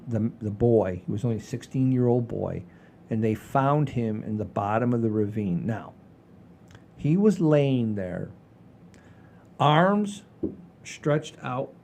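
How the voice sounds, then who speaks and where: Mellow-voiced man in his sixties, casual storyteller. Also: mid-range voice, slight background noise. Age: 60s. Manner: emphatic, repetitive, profane. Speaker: podcast host. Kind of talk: casual comedic storytelling chat.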